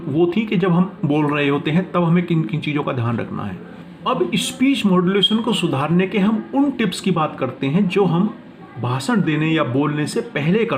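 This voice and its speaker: A man's voice: sits high, slight background noise. A man teaching a lesson from notes, reading out points one by one and explaining them in an instructional way. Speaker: teacher presenting class notes.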